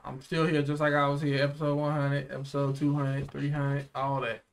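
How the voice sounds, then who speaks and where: Higher-pitched young man chatting casually. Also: completely flat delivery, normal speed, gravelly. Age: young adult. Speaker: reaction show host. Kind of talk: casual reaction chat. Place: small room indoors, seated.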